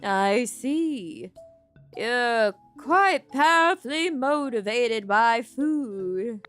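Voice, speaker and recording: A woman voicing a prim, polite and sensible game creature with a formal, measured manner. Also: fairly high pitch, expressive, a bit bright, faint music behind, heavily acted. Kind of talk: game character read aloud.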